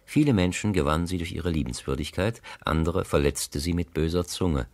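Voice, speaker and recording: A solemn male storyteller narrates a classic radio drama. His voice is exceptionally deep and recorded close and dry. He speaks in measured, reflective, elegiac phrases. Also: dry recording. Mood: narrating briskly. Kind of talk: literary narration in a 1970s radio play.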